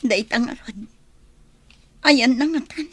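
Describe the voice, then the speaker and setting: Radio drama ingenue: young lovesick daughter, reluctant, high voice, close dry recording. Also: female voice, takes it slowly, husky, no echo, exaggerated voice. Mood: longing. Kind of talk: Ilocano radio drama acting.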